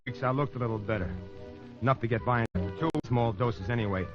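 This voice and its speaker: Muffled vintage radio, music underneath, actor playing a slangy American hustler, cynical, world-weary, mid pitch, crime drama. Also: male voice, fairly quick, natural voice. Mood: matter-of-fact narrating. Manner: slangy, tough-talking. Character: slangy small-time male hustler turned suitor, cynical, world-weary narrator. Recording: muffled old radio recording, music behind.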